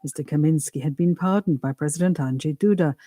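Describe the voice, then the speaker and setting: Woman newsreader, really dark mellow voice, dry studio, world news read. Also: low-pitched, fairly quick, no room sound. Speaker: news reader. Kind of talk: scripted international radio news bulletin.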